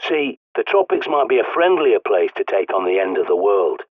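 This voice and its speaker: An actor playing a gruff ex-army male survivalist with a British accent, giving blunt orders over a radio link. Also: on the low side, big pitch swings, moderate pace, dry recording. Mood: wry. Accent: British English. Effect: radio transmission filter. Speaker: radio guide character. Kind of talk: video game voice acting. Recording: tinny radio-filtered dry studio voice.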